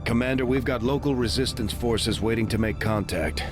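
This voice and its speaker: A man playing a serious, dutiful military officer briefing a commander in a video game, in a brisk, businesslike way. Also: medium pitch, close to an everyday voice. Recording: loud music behind.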